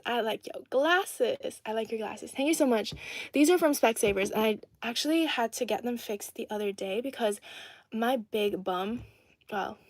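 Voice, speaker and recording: Young woman streamer, slightly high voice, dry close sound, chatty and giggly. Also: dry recording. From Ireland. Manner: chatty and giggly, reading comments aloud and answering. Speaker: livestream host reading viewer comments. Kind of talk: casual livestream chat. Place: indoor home setting in Adelaide.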